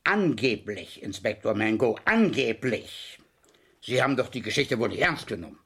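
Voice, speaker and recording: Middle-aged commissioner, forceful husky voice, sarcastic, blustering, irritable, radio crime drama. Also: male voice, mid-range voice, big pitch swings, moderate pace, exaggerated voice. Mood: dismissive. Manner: sardonic, blustering, easily irritated. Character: sarcastic middle-aged Paris police commissioner and gruff father. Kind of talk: German radio crime play acting.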